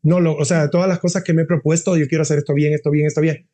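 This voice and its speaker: A man's voice: fairly high pitch, level tone, very fast, dark tone. A middle-aged man, a university dean, talking earnestly in a casual interview and often restarting his phrases.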